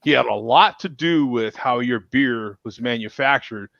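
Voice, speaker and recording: A man's voice: mid-range voice, moderate pace, gravelly, a bit bright, no room sound, highs cut off. A man hosting a casual chat show in a folksy manner, often repeating himself as he talks. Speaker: show host.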